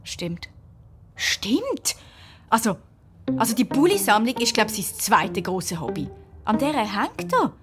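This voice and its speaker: Young girl detective, lively swooping highish voice, eager, excitable, children's radio play, music behind. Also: takes it slowly, long pauses. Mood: amused, excited. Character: eager schoolgirl twin detective, itchy and excitable. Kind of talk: children's detective audio drama.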